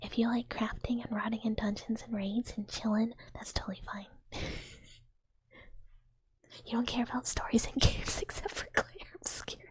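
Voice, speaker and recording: A woman's voice: on the high side, level tone, normal speed, long pauses, dry recording, low-quality recording. A young woman streamer whispering a giggly, rambling casual chat with her viewers. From United States. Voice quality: soft breathy whisper. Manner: giggly, rambling, frequent "like" fillers.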